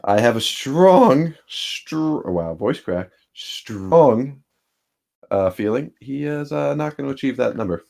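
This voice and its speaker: A man's voice: mid-range voice, lively intonation, slow, gravelly, darkish tone. A man co-hosting a casual sports podcast, laid-back with dry humor and a habit of chuckling as he talks. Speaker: podcast co-host.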